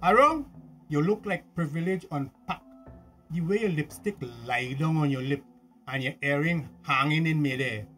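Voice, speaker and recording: An actor with a Tobagonian accent playing a pompous, badgering police officer interrogating someone in a radio drama. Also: male voice, on the high side, expressive, moderate pace, fairly bright tone, soft background music. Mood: smug, probing.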